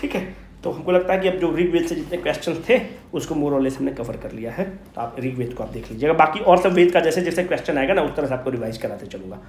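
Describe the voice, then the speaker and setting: Man, high voice, informal lecturer, rhetorical questions, colloquial, echoey room. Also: expressive, quick pace, a little mellow, slight background noise. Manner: asks questions and answers them himself, colloquial. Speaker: teacher giving an exam revision lecture. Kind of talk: informal Hindi-English classroom lecture.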